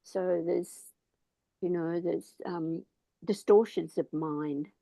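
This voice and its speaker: A woman's voice: fairly low pitch, steady tone, takes it slowly, creaky, mellow, no echo, poor audio. A woman in a casual discussion, talking in a thoughtful, hesitant, rambling way. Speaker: discussion participant.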